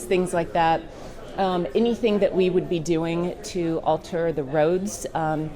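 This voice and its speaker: A woman giving spontaneous interview remarks as a local resident. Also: lowish voice. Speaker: local business owner interviewee. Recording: busy crowd chatter behind.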